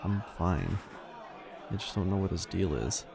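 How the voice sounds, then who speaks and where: A man playing a casual, slightly defensive younger helper in an audio drama, speaking a little hesitantly in a low, creaky voice. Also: animated, unhurried, over a noisy crowd, full character voice. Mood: casual, uneasy. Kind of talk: audio roleplay acting.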